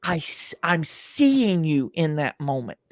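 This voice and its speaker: A man with a high voice for a man, telling stories as an interview guest with emphatic, animated delivery and frequent restarts. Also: takes it slowly, no echo, clean studio sound. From United States. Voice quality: higher-pitched male voice. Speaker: podcast guest. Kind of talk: enthusiastic interview storytelling.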